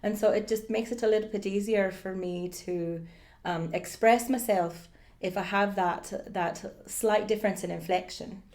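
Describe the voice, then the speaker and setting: A woman putting on an Irish accent as she gives an informal lesson on accents, explaining haltingly and thinking aloud as she coaches. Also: medium pitch, steady tone, darkish tone. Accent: put-on Irish. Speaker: accent coach giving a demonstration.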